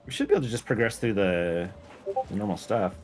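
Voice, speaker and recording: Man, low voice, enthusiastic game modder in loose, rambling stream chat, music behind. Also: expressive, a little fast, darkish tone. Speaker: game mod creator guesting on a stream. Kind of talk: casual gaming stream chat.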